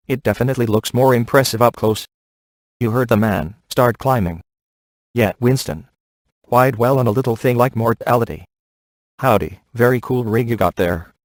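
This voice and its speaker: A wisecracking middle-aged ghost hunter played by a man for a video game, speaking in a fairly low voice. He is cocky and flirtatious, tossing off sarcastic one-liners with dry glibness. The sound is very close and free of room echo. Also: close to an everyday voice. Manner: dry, sarcastic, glib delivery.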